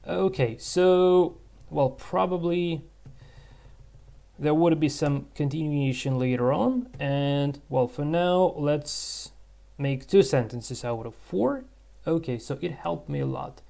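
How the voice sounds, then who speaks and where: A man whose first language is Russian, teaching English by thinking aloud in a halting way, with many fillers and restarts. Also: on the high side, vocal fry, dark tone. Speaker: English tutor. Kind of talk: screen-recorded language lesson.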